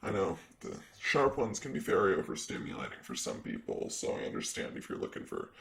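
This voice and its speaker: A man's voice: low-pitched, huge pitch swings, a little fast, vocal fry, a bit bright, exaggerated voice. A man playing a sassy, vain, flirty ancient demon secretary in an improvised ASMR roleplay, with a teasing, casual manner. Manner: teasing, casual, drawling asides.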